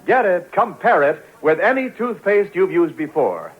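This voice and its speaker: A male radio announcer with a high-set voice and a formal, polished 1940s delivery. He reads scripted copy, and the old broadcast recording sounds muffled. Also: very animated, moderate pace, slightly rough.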